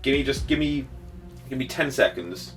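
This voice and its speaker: A man's voice: medium pitch, normal speed, faint music behind. A man with a British accent in casual, matey trivia banter as a podcast co-host. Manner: animated, matey.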